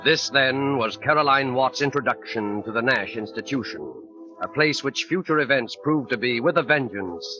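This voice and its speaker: A man narrating a radio thriller in a solemn, deliberate, ominous 1940s style. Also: mid-range voice. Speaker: program narrator and announcer. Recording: dull 1940s radio recording, music behind.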